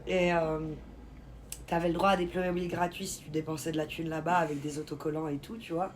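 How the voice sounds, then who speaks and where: A woman's voice: low-pitched, flat delivery, a little fast, slight background noise. A woman with a Swiss French accent, bantering and laughing in a casual live-stream chat and greeting viewers.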